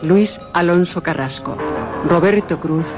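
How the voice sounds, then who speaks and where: Formal male announcer over loud music. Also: sits high, unhurried. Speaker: radio announcer. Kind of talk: formal closing credits.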